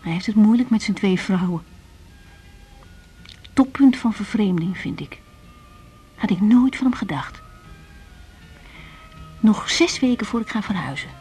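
An actress playing an earnest, weary young doctor in a radio drama, speaking in short reflective phrases. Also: female voice, mid-range voice, lively intonation, unhurried, pauses often, a little mellow, husky, soft background music, full character voice. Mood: wistful. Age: young adult. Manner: reflective, intimate, clipped phrases. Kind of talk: radio drama acting with diary-like monologue.

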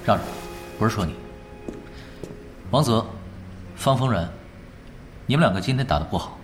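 Casting: male actor as dry, blunt ex-captain in audio drama, low voice with lively inflection over music. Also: moderate pace, natural voice. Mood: calm, critical. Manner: composed, dry, lecturing. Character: unruffled, blunt male esports veteran and ex-captain. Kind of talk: radio drama acting. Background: background music.

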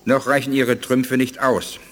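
A man's voice: medium pitch, little pitch movement, a little slow, natural voice. A man playing a sceptical, learned alien elder in a science fiction radio drama, formal and admonishing. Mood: warning.

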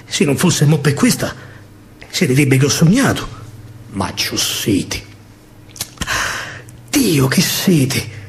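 A man with a Roman accent performing a theatrical verse monologue in dialect, expressive, dramatic and colloquial. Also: a little high, slow, creaky, pauses often. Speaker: stage actor and storyteller.

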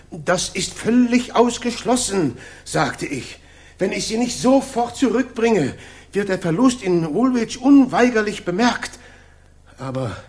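An actor in a German radio drama playing a cornered, fearful colonel confessing as a traitor, halting under pressure. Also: male voice, on the high side, slightly rough. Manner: halting, stammering when pressed.

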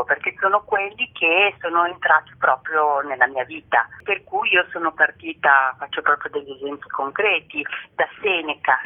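A woman explaining things in an articulate, reflective and didactic way during a radio interview. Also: low voice. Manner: articulate, didactic, uses rhetorical tag questions. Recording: tinny, narrow phone-line sound.

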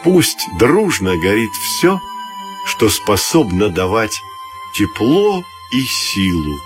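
A man narrating a scripted fairy tale for children's radio in an expressive, theatrical storyteller's manner. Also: fairly low pitch, slow pace, over music.

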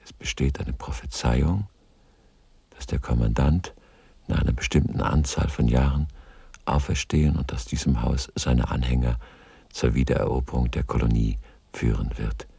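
Male radio drama narrator: extremely deep, very husky and gravelly, solemn and measured. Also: fairly even intonation, dark tone, dry recording.

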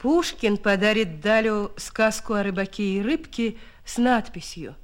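A woman with a medium-pitched voice narrates a scripted radio documentary drama. She phrases deliberately, telling the material like a story.

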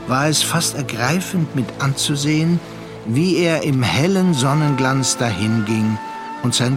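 An actor narrating a literary radio play as a seasoned seafarer, reflective and measured. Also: male voice, mid-range voice, lightly acted. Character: seasoned male seafarer recounting a troubled younger friend's story. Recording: studio radio play with music underneath. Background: music.

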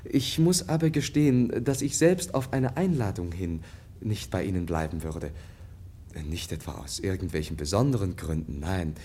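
A very low-pitched young gentleman, a naive Russian prince from a radio play. He is earnest and candid, choosing polite, formal words and now and then hesitating. The delivery is mannered 1950s German stage-radio speech. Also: lively intonation, normal speed, slight background noise. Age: young adult. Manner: formal, courteous, hesitant in places.

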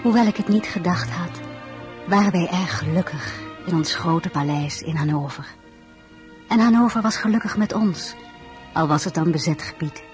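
An actress playing a reflective young wife and mother, narrating her life in a measured, intimate way in a radio drama. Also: on the low side, a little mellow, faint music behind, natural voice.

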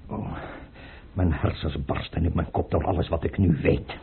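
An actor playing a tormented, paranoid Russian tsar in a theatrical radio play, agitated, imperious and repeating himself. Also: male voice, sits low, steady tone, normal speed, vocal fry, a little hiss or hum. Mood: anxious. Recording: muffled, narrowband old radio play recording.

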